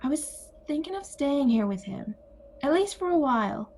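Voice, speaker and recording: High-voiced, wry literature-teacher sister played by a woman. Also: sing-song, normal speed, darkish tone, slight background noise. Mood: content, wry. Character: calm wry older sister Bea, former literature teacher. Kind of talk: audio play acting.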